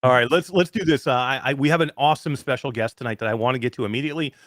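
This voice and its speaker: A man of fifty hosting a live show in casual chat, reading out greetings with excitement. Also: mid-range voice, very fast, no room sound, studio quality. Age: 50s. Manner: excited, animated chat shout-outs. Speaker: host.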